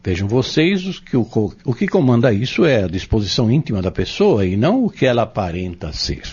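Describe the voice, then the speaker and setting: A man playing a patient, earnest Spiritist teacher in an audio drama, explaining step by step in a measured, didactic way. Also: medium pitch, big pitch swings, a little mellow, husky. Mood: patient, instructive. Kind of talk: scripted audio drama dialogue. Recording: dull lo-fi audio.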